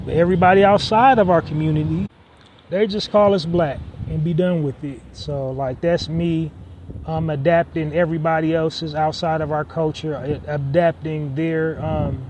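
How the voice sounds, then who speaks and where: Man, podcast host, slightly high voice, rambling with lots of fillers, faint street noise. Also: steady tone, normal speed, darkish tone. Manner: rambling, many fillers and restarts. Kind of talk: casual solo podcast monologue.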